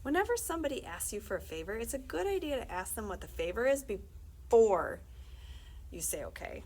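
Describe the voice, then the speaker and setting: Very animated woman reading a children's story. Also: on the high side, a little fast, low-quality recording. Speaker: library story-time reader. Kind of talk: expressive children's read-aloud with asides to listeners. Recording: faint background noise.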